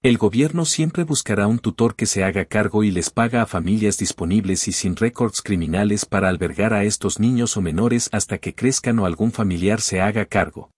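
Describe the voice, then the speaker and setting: A man's voice: on the low side, husky, no echo. Cuban-accented middle-aged man, audiobook reading, dry close sound, earnest tone. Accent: Cuban Spanish. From Cuba. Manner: earnest, steady reading with long listing phrases. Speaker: audiobook narrator.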